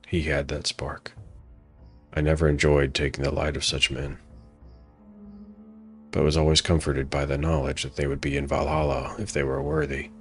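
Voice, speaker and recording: A man voicing a grim, weary, honourable Norse warrior in a fantasy radio drama, speaking deliberately. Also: a bass voice, gravelly, fairly bright tone, heavily acted. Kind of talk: dialogue read within dramatic narration.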